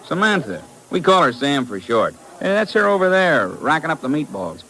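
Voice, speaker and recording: An actor playing a breezy, wisecracking American cafe owner in a 1950s radio drama, folksy and glib. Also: male voice, on the high side, big pitch swings, pushed and bright, full character voice, poor audio. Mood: wry, joking. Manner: folksy, glib, drawn-out joking. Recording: vintage 1950s radio recording.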